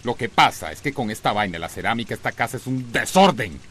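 An actor with a Costa Rican accent playing an irritable, domineering husband in a radio soap, curt and scornful. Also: male voice, medium pitch, animated, fast talker, over music, lightly acted. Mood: irritated, scornful. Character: irritable, domineering husband, dismissive of his wife. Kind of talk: radio soap opera acting.

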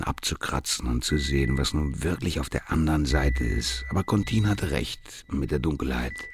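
Clear background noise surrounds a rock-bottom, gravel-throated male voice. a doomed, foul-mouthed killer in a radio drama, brooding bitterly as he confesses. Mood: despairing. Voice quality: very deep, gravelly. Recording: clearly noisy ambience behind voice.

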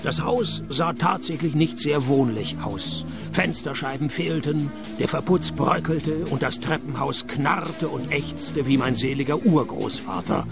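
A gravelly, sardonic private detective who narrates his own radio drama with dry, deadpan wit. His voice sits a touch higher than most men's, with a faint music bed now and then. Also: unhurried, hardly any pauses. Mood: wry. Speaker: narrator and lead. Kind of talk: radio play narration and acting.

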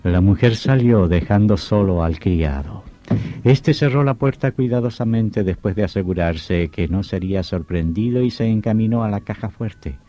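A man's voice: on the low side, normal speed, mellow. A man narrating a horror radio drama in a solemn, theatrical way. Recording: dull vintage radio recording, music behind.

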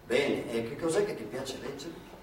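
An actor playing a stern school director who quietly questions a pupil, recorded on a theatre stage. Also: male voice, mid-range voice, lively intonation, normal speed, far from the mic, crowd noise, natural voice. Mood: probing. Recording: echoey stage recorded from afar, hiss.

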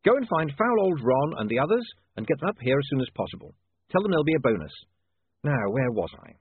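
A man's voice: fairly high pitch, big pitch swings, quick pace, slightly rough, no echo, voice pushed up. A man voicing an urgent, harried newspaperman in an audiobook, emphatic and sprinkled with hesitant ums. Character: harried, urgent young male newspaperman dictating headlines. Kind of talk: audiobook character voice. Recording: band-limited, phone-like sound.

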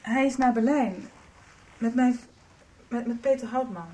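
Radio soap role for a woman: earnest young girl, halting, highish voice, a bit off the mic. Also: dark tone, recorded from a distance, lightly acted, poor audio. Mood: hesitant, worried. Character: hesitant, earnest young girl pleading for answers.

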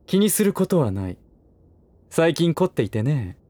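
Smug, lilting aristocrat, slightly high-voiced. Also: male voice, big pitch swings, normal speed, mellow, no room sound. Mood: amused, insistent. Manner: languid, lordly phrasing with amused chuckles. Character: smug aristocratic businessman, teasing and condescending. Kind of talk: Japanese voice drama acting.

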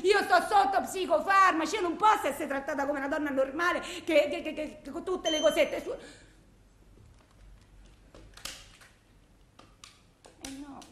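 A high-pitched, distraught wife with a thick Roman way of speaking, pours out her case to her lawyer. She rambles, repeats herself and justifies everything in agitated, colloquial bursts. The radio monologue rings in an echoey room. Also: fairly quick, fairly bright tone. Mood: agitated, defensive. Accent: Roman Italian. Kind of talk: theatrical radio monologue, framed as phone messages. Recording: roomy, echoing sound.

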